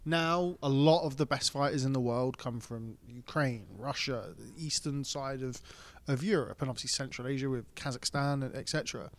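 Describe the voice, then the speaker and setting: A man's voice: mid-range voice, moderate pace, few pauses. A man interviewing a boxing manager, asking long, rambling questions.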